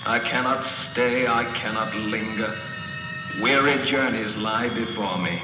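Man acting a slow, echoing, solemn ghost. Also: fairly low pitch, fairly even intonation, lightly acted. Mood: mournful, remorseful. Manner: slow, grave, declamatory. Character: solemn, mournful ghost of a dead business partner. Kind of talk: old radio drama acting. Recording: echoey hall reverb over music, old radio.

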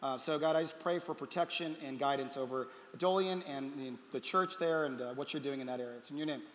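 A man's voice: mid-range voice, fairly quick. A man pastor hosting his church service, joking with the congregation and then praying earnestly, speaking on a stage with a handheld mic. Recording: reverberant hall with audience.

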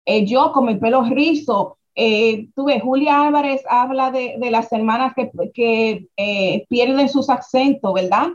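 Young Dominican woman, Dominican accent, animated interview guest, highish voice, muffled lo-fi recording. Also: a little slow, few pauses. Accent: Dominican Spanish. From Dominican Republic. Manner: animated, many restarts and rhetorical questions. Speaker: guest, founder of a writers' platform. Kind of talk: casual interview conversation. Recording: dull lo-fi sound.

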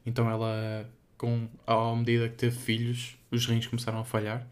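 A man's voice: mid-range voice, completely flat delivery, moderate pace. A young man with a European Portuguese accent chatting casually as a podcast co-host, rambling and hesitant, with many fillers.

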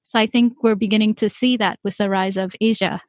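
A woman's voice: medium pitch, fairly even intonation, brisk, no echo, studio quality. A woman from Malaysia, speaking as an interviewer in a conversational style.

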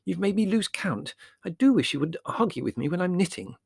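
A man's voice: on the high side, sing-song, moderate pace, vocal fry, slightly rough, no room sound, studio quality, pitched above the performer's own voice, full character voice. A man voicing a fussy, irritable middle-aged mother in a storybook, explaining patiently and then snapping. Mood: irritable, fierce.

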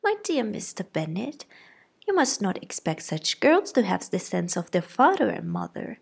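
A woman voicing an excitable, fussy middle-aged mother who gushes eagerly in a storybook reading. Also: moderate pace, creaky, husky, exaggerated voice. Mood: gushing. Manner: shrill, gushing, eager.

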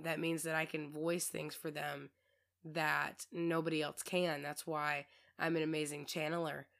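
A woman with a low pitch and a hoarse, worn-out voice hosts her own podcast. She chats on in a loose, rambling way, often breaking off mid-thought, and her voice still cuts through with a bright, forceful edge. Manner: rambling, chatty, self-interrupting. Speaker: podcast host. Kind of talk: casual solo podcast monologue.

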